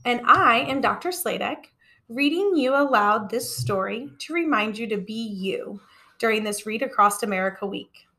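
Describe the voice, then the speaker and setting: A woman reading a children's storybook aloud in a deliberate, expressive way for young listeners. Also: on the high side. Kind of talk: read-aloud storybook narration.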